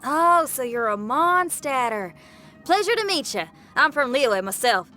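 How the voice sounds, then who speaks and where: A woman's voice: high-pitched, sing-song, measured pace, pushed and bright, faint music behind, exaggerated voice. A woman playing a spirited, friendly young rock musician in an anime game, upbeat and easygoing.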